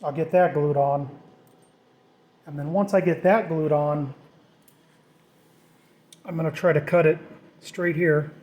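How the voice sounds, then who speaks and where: A man's voice: a little high, steady tone, slow pace, long pauses, darkish tone. A middle-aged man casually narrating a hands-on tutorial as he works, thinking aloud in an informal, self-deprecating way. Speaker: DIY vlogger.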